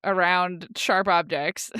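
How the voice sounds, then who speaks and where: A woman's voice: on the low side, fairly even intonation, takes it slowly, pushed and bright, clean tone, dry recording, clean studio sound. A woman co-hosting a casual book discussion, informal and often laughing as she talks. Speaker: podcast co-host.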